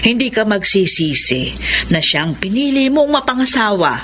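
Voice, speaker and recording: A woman's voice: on the high side, big pitch swings, normal speed, fairly bright tone. An actress playing a coaxing middle-aged mother in a radio drama, persuasive and full of exclamations. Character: coaxing middle-aged mother pushing her daughter to marry. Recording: noisy ambience behind radio drama dialogue.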